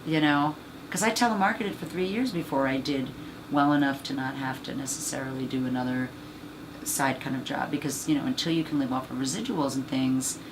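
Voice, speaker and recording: A woman with a husky, low voice, chatting as an interview guest about her acting career, rambling and filling gaps with "you know.". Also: brisk, noisy background. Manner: rambling, many fillers. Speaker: actress and voice actor guest. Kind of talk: casual interview chat.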